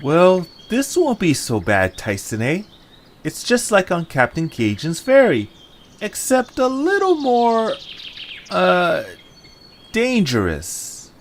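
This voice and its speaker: A man's voice: high-pitched, wildly swooping pitch, takes it slowly, a little hiss or hum, full character voice. Man playing a sarcastic young adventurer, swooping voice. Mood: hesitant. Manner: sarcastic, dry. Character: sarcastic, weary young male adventurer creature. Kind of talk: audio drama acting.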